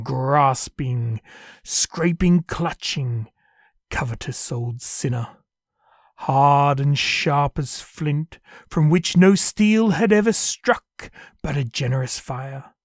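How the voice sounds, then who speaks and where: A man's voice: on the high side, gravelly, a little mellow, no echo, studio quality. A man narrating a classic story in a theatrical manner, savoring each word. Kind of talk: dramatic audiobook narration.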